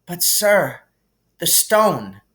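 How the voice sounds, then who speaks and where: A man's voice: high voice, sing-song, extremely slow, fairly bright tone, voice pushed up, heavily acted. A man voicing an anxious, earnest young schoolboy in a storybook reading, with an urgent, questioning manner. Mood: insistent. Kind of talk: audiobook character reading.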